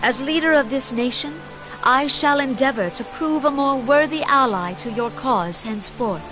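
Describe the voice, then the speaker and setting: A woman's voice: high-pitched, moderate pace, lightly acted, low-quality recording. A woman voicing a gracious, earnest leader of a forest nation in a fantasy video game, speaking with solemn, archaic formality. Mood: grateful, resolved. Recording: loud music under voice.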